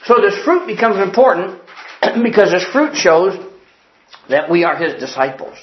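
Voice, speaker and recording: A man's voice: high-pitched, big pitch swings, moderate pace. A man preaching a sermon in an earnest, emphatic way, repeating his key phrases and quoting old-fashioned scripture wording. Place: church building. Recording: echoey, dull lo-fi sound.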